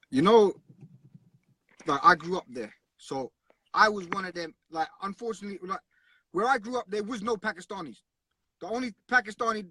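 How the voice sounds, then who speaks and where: A man's voice: high voice, bright, forceful tone, no echo. A man talking earnestly and bluntly in a casual conversation, often repeating himself.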